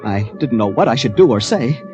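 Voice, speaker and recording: Male actor as prim, nervous traveler-narrator in a radio mystery, lively swooping delivery, hesitant, over dramatic music. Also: medium pitch, fairly quick, natural voice. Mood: uneasy. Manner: hesitant, stammering, slightly prim. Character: fussy, anxious American male traveler telling his misadventure.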